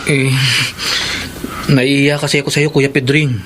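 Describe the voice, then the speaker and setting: A man's voice: mid-range voice, flat delivery, slow pace, fairly bright tone, slight background noise. An actor playing a humble, sheepish village fisherman and younger brother in a Filipino radio drama. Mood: ashamed, hesitant. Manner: hesitant, deferential.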